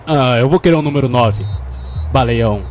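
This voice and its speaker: A man's voice: medium pitch, normal speed, a little mellow, faint music behind, close to an everyday voice. A man with a Brazilian accent playing a brash, earnest, sweary gangster in a game fan dub. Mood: casual.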